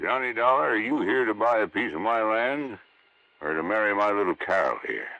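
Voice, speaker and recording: A man's voice: lowish voice, animated, a little fast, no room sound. An actor playing a stubborn, roguish old oil man with a Western American drawl and a folksy, ornery manner in a 1950s radio drama. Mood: insistent. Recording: dull, lo-fi vintage radio recording.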